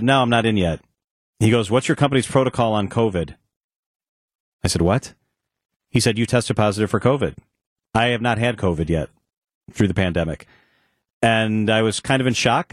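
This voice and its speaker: A man's voice: lowish voice, no room sound, clean studio sound. A middle-aged man hosting an afternoon radio talk show, telling a personal story in a candid, conversational way. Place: radio studio. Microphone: studio microphone.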